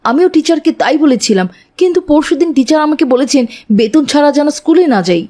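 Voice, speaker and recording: A woman's voice: high-pitched, expressive, quick pace, dark tone. A woman playing an earnest, dutiful young schoolboy in a moral cartoon, explaining things plaintively. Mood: dejected. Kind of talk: Islamic moral cartoon dialogue.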